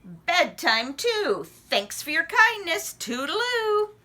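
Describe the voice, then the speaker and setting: Woman putting on a croaky, cheerful frog. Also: extremely high pitch, very animated, slow pace, pushed and bright, a little hiss or hum, voice pushed up, heavily acted. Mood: cheerful, bold. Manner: exclaiming, breezy, familiar. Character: cheerful, pushy, boisterous male frog visitor.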